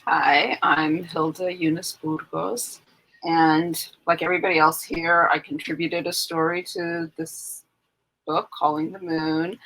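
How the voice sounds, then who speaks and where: A middle-aged woman from New York, introducing herself in a measured, plain way as an author on a panel. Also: low-pitched, flat delivery, a bit bright, low-quality recording. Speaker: author guest, lawyer.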